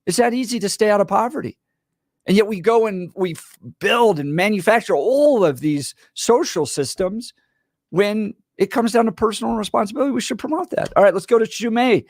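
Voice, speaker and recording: A man hosting a talk radio show, giving an emphatic opinion and then briskly bringing on a caller. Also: high voice, dry recording, studio quality.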